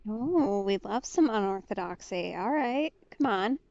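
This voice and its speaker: Slow-talking woman streamer, dry dull mic. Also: medium pitch, expressive, darkish tone, no echo. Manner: laid-back, colloquial. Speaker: game streamer. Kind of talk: casual live reaction to a game.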